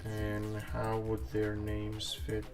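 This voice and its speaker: A man's voice: fairly low pitch, monotone, slow. Man chatting on stream. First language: Greek. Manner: chatty, thinks aloud while working, chats with viewers. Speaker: live streamer drawing pixel art. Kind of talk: casual live-stream chat while drawing. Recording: distant mic with music playing. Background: background music and keyboard typing.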